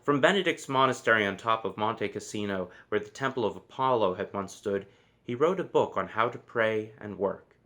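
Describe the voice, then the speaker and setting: A man narrating a children's story from a book, with expressive, measured phrasing and deliberate emphasis. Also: on the low side. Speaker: storybook reader.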